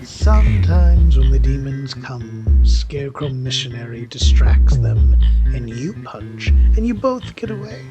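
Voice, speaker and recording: A man reading a short story as a dramatic, deliberate and expressive narrator, in literary style. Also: mid-range voice, lo-fi sound. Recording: prominent music under the voice. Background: music.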